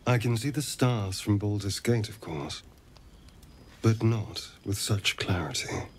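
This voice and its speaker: An actor playing a flirtatious, vain rogue in a video game, with an arch, drawling, theatrical delivery. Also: male voice, on the low side, unhurried, a little hiss or hum, lightly acted. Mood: wistful, musing. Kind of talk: video game character acting.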